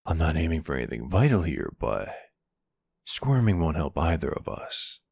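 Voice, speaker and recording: Man voicing a deep, gravelly, flirty vampire. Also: very animated, moderate pace, a little mellow, no room sound, clean studio sound, full character voice. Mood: wry, bossy. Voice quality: gravelly, husky.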